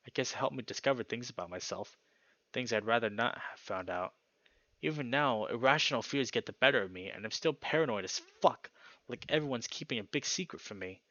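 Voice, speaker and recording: A man's voice: fairly low pitch, sharp tone, no echo, highs cut off. A man voicing a paranoid, guilt-ridden young male animal character, reading visual novel narration in a troubled, confessional way. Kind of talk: visual novel text read dramatically. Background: guitar music.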